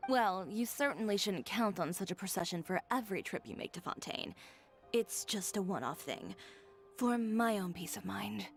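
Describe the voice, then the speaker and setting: An actress playing a confident, blunt, haughty young fashion designer in a video game, speaking with cool, dry self-assurance. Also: female voice, mid-range voice, lively intonation, moderate pace, gravelly, faint music behind. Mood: assured, protective.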